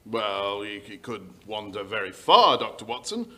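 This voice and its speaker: A man's voice: fairly low pitch, sing-song, measured pace, sharp tone, exaggerated voice. A middle-aged man playing a worried, polite aristocratic landowner, telling his tale hesitantly in old-time radio style. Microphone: shared stage microphone.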